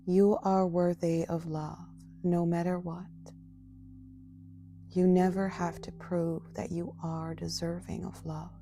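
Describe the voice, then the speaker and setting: A woman leading a guided meditation as a yoga nidra guide, speaking in a soft, gentle, soothing and often breathy manner. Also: on the low side.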